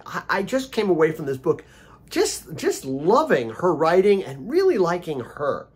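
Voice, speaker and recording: A man's voice: a little high, breathy edge. A middle-aged or older man hosting a casual video blog, talking conversationally and stressing words by repeating them. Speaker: host of a daily video blog. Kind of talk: casual, conversational book recommendation monologue.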